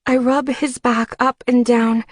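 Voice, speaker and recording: Woman, audiobook narration, measured and emotive, slow, very dry close sound. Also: a little high, flat delivery, no room sound. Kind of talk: dramatic first-person scripted narration.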